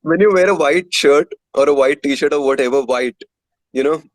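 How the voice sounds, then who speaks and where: A man's voice: fairly high pitch, moderate pace, dry recording, rough recording. A man from India with an Indian accent, telling stories casually and candidly as a musician being interviewed.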